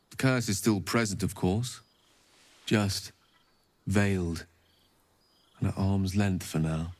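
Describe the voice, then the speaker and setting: An actor playing an eloquent, melancholy wizard in a fantasy game, speaking in an intimate, poetic and measured way. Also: male voice, low voice, darkish tone, no room sound. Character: romantic eloquent wizard man, wistful and melancholy. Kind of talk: fantasy video game dialogue acting.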